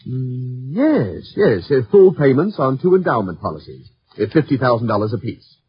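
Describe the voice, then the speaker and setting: A husky, hesitant insurance broker. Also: male voice, medium pitch, very animated, moderate pace, no room sound. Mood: uneasy, obliging. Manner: hesitant, deferential, polite hemming. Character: short, thin, uneasy apologetic male insurance broker. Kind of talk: 1950s radio drama acting. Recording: muffled 1950s radio recording.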